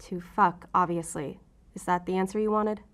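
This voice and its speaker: An actress playing a guarded, defiant law-enforcement agent in an audio drama, with a sarcastic, defensive way of talking. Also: female voice, fairly low pitch, fairly even intonation, moderate pace, lightly acted. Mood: brazen, defensive.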